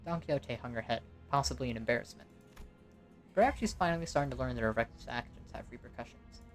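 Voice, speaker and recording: A woman narrates a scripted game story in a very deep voice. It is an amateur home recording, with music clearly playing behind her. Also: expressive, fast talker.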